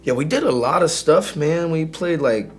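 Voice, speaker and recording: A man from Greece, an indie singer-songwriter, talking casually and candidly in an interview in an informal, halting, slangy way. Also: fairly high pitch, animated, husky, soft background music.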